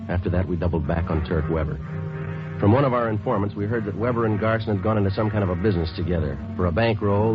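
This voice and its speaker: An American man playing a terse, matter-of-fact police sergeant in a 1950s radio drama, speaking in clipped, deadpan sentences. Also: sits low, lightly acted. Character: terse, businesslike male police detective sergeant narrating his case. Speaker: lead actor and narrator. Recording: muffled old radio recording, music behind.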